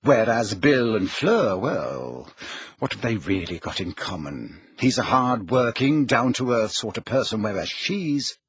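A man voicing a sharp, careworn middle-aged mother, brisk and firm, in an audiobook story. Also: on the low side, sing-song, breathy edge, no echo, highs cut off, exaggerated voice. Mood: defensive, doubtful.

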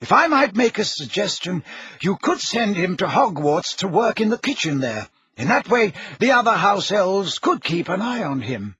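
A man voicing an elderly male wizard who speaks in a measured, courteous, dryly amused way. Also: high voice, big pitch swings, a bit bright, slightly rough, no echo, voice pushed up, full character voice. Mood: genial. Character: elderly male wizard headmaster, calm and wry.

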